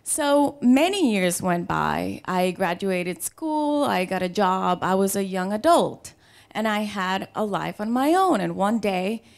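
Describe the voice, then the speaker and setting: A middle-aged woman from the Dominican Republic with a Dominican Spanish accent, a native Spanish speaker, telling a personal story live in a conversational, playful way with dramatic pauses. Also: medium pitch, lively intonation, creaky. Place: live storytelling show before an audience.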